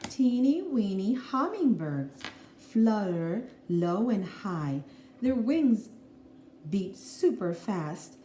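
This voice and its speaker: Animated woman reading aloud to children. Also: mid-range voice. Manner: expressive, deliberate, addressing young children. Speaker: teacher reading a picture book aloud. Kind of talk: children's read-aloud with explanatory asides. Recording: roomy echo, faint background noise.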